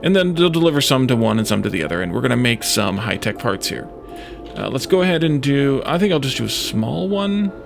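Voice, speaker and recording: A man's voice: mid-range voice, sing-song, fairly quick, gravelly, music in the background. A man hosting a let's play, muttering his way through game decisions aloud in short, hesitant phrases. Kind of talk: gaming commentary, thinking aloud while playing.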